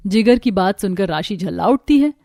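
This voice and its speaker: A woman narrating a family cartoon story in a brisk, scripted storytelling manner. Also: medium pitch, lively intonation, warm tone, faint music behind.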